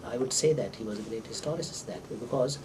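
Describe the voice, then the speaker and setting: An older man with an Indian accent and Urdu as his first language, giving an informal literary lecture in deliberate phrases with hesitations and repetitions. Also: medium pitch. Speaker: English professor, visiting scholar. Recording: distant microphone with clear background noise.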